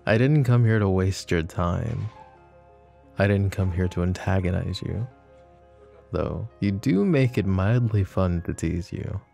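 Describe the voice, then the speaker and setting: A deep-voiced, smug mafia boss acted by a man, flirty and possessive in an ASMR roleplay. He drawls slowly and intimately, mocking and teasing, with a gravelly fry in his voice. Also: dark tone, soft background music. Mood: flirty, needling.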